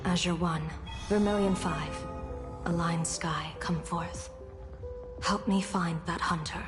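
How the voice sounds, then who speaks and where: A woman voicing a composed, reassuring female mystic leader in a video game, speaking in a measured, formal way. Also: on the low side, steady tone. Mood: focused. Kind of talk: video game character acting. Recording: long reverb, music behind.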